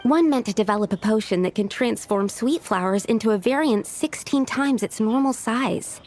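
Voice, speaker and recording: A woman as creaky-voiced, studious young alchemist. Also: on the high side, normal speed, a little mellow, soft background music, lightly acted. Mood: earnest, explanatory. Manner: methodical, explanatory, slightly hesitant. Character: studious, precise young female alchemist, earnest and modest. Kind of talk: video game voice acting.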